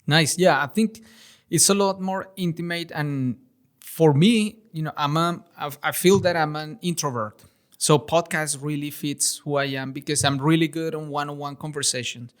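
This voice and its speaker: A man hosting a podcast in a casual interview, friendly and encouraging with quick short replies. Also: fairly high pitch, fairly even intonation, clear, resonant tone. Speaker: podcast host.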